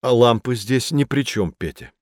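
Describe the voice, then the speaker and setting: A man playing a patient, earnest guide who explains things to children with deliberate emphasis in a scripted educational audio drama. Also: mid-range voice, lively intonation, darkish tone, no echo, studio quality, close to an everyday voice. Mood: explaining, patient.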